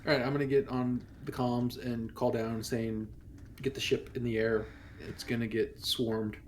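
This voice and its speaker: A man's voice: medium pitch, little pitch movement, normal speed, a little mellow, slight background noise. A man roleplaying a crew member in a tabletop game, reporting to his captain. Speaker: player as a crew member on comms.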